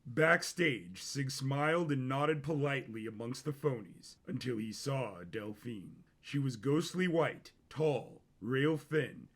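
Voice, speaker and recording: A man's voice: medium pitch, lively intonation, slow pace, hardly any pauses. A man reading a scripted story as a dramatic narrator.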